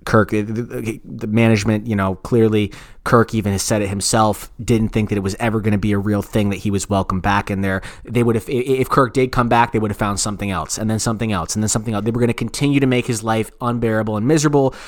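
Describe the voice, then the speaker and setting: A man's voice: fairly low pitch, fairly even intonation, quick pace, hardly any pauses, no echo, clean studio sound. A man co-hosting a podcast, talking casually and giving opinions in a profane, rambling way with repeated phrases. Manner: profane, repetitive, rambling explanations. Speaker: podcast co-host. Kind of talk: casual opinionated talk about sports radio.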